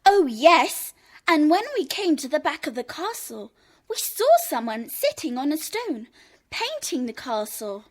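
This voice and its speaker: A woman's voice: high voice, big pitch swings, measured pace. A woman playing a cheeky, stubborn young girl in a children's audio drama, teasing and smug with emphatic delivery. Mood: boastful.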